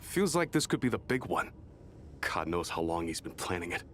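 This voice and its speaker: A man's voice: deep voice, very animated, normal speed, a bit bright, slight background noise. An actor playing a rattled, bitter enemy soldier in a video game, grudgingly telling what he knows. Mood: uneasy, confiding. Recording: music behind the voice. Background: game music.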